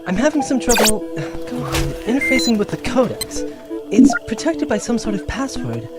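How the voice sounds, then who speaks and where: A woman's voice: a little high, big pitch swings, normal speed, music in the background. A woman playing a determined, wry archaeologist adventurer in an audio drama. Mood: busy, wry.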